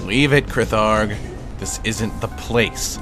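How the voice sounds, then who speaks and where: A man's voice: on the low side, takes it slowly. A man playing a cocky, wisecracking fighter pilot with a dry, teasing, casual manner in a sci-fi audio drama. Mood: wry, teasing. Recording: loud music behind voice.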